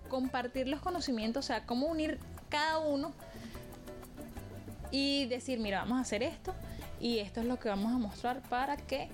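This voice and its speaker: Hesitant woman interviewer, music behind. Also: fairly high pitch. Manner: hesitant and rambling, with many fillers. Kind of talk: interview questions.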